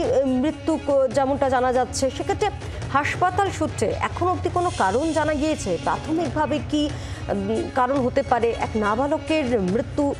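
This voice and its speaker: A woman anchoring the news, reading reports and questioning a reporter. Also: high-pitched. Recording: music behind the voice. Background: news music bed.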